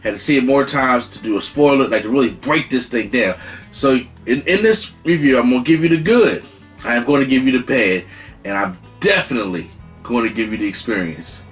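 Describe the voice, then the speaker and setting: Male host, mid-pitched, emphatic and repetitive, quiet guitar music underneath. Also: a little fast. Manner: excited, repetitive restarts, emphatic. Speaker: channel host, movie reviewer. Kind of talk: casual movie review.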